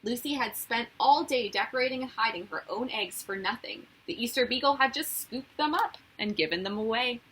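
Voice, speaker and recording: A woman reading a children's storybook aloud as a teacher would, with expressive narration. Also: a little high, normal speed, hardly any pauses, breathy edge, poor audio.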